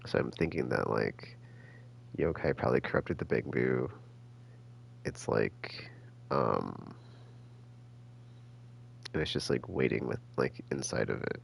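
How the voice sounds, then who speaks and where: A man giving casual, rambling game commentary as a streamer, halting and slangy as he thinks out loud. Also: very deep voice, vocal fry, slight background noise. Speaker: gaming streamer.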